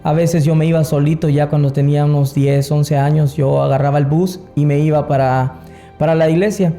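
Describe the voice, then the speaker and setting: A Salvadoran-accented man gives a personal testimony as an interview guest. His voice is very soft-edged and dark, pitched a little high for a man. Faint music plays underneath. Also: steady tone, brisk. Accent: Salvadoran Spanish. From San Salvador, El Salvador. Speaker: interview guest, worship singer. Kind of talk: personal testimony, casual storytelling.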